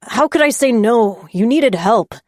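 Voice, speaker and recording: A woman voicing a young man, an angry and jealous boyfriend, who talks in raised, sharp and scornful lines. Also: fairly high pitch, expressive, unhurried, dry recording, clean studio sound, voice pushed up.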